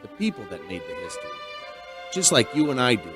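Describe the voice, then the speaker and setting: A man's voice: lowish voice, big pitch swings, brisk, over music. An actor playing an earnest, sentimental male bartender who tells a strange tale in a dramatic radio play style. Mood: reflective. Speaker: bartender and storyteller. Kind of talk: radio drama acting and narration.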